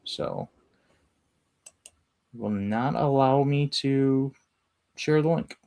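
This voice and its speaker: A man co-hosting a live stream, relaying viewers' comments in a low-key, grumpy way. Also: extremely slow, vocal fry, mellow. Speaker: co-host handling the chat.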